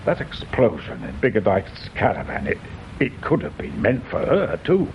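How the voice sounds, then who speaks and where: A man's voice: fairly low pitch, wildly swooping pitch, moderate pace, creaky, heavily acted. An actor playing an indignant, guarded older English father in a radio mystery drama, blustering and hesitant when pressed. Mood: grave. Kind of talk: British radio drama acting. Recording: dull radio recording, noticeable background noise.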